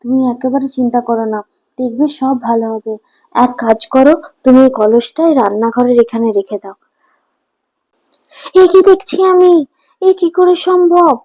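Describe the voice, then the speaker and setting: A homely cartoon village mother, played by a woman, speaks high and emphatic. She is devout, full of wonder and easily astonished by things. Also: normal speed, long pauses, warm tone. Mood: astonished, urgent. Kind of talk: cartoon voice acting.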